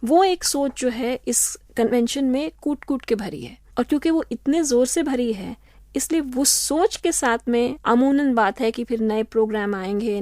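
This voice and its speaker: A woman law professor answering interview questions in a measured, explanatory way, slipping in English phrases. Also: on the high side, darkish tone, no echo, clean studio sound. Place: radio studio.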